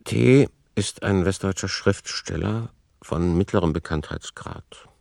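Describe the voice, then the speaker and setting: An actor playing a sober, matter-of-fact narrator of a radio play, reporting in measured, precise like someone reading out a case file. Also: male voice, low-pitched, vocal fry, a little mellow, dry recording, clean studio sound. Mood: detached, factual.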